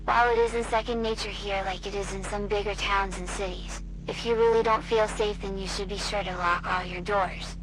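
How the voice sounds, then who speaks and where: A woman's voice: mid-range voice, fairly even intonation, moderate pace, few pauses, close to an everyday voice. A woman playing a small-town safety adviser giving scripted advice in an announcement. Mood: advising. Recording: noisy recording with hum.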